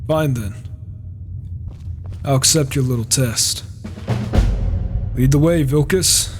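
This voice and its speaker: A man's voice: mid-range voice, slow pace, music in the background, lightly acted. A man playing a proud, brash wandering warrior in a fantasy game roleplay, challenging and sardonic, on a noisy microphone. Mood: defiant. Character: proud, cocky, reclusive male wandering warrior. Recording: noisy microphone with rain and thunder.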